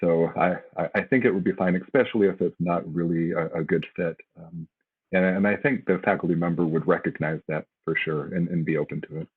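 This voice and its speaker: Deep husky man on a tinny line. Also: steady tone, brisk. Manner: hesitant and casual, many fillers. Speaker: faculty panelist. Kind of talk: advice on a webinar panel.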